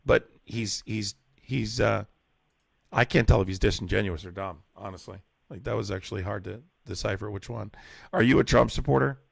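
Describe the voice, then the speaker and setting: A man's voice: on the low side, moderate pace, vocal fry, husky, no room sound, clean studio sound. A young man hosting call-in debates on a live stream, exasperated and mocking, who says he is losing his voice.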